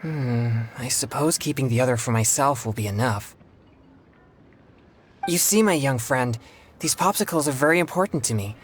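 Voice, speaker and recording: An actor playing an earnest young exorcist in a video game, speaking politely and formally with hesitant pauses. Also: male voice, mid-range voice, expressive, a little hiss or hum, natural voice. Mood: reluctant, kind. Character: earnest young male exorcist, excitable yet self-controlled.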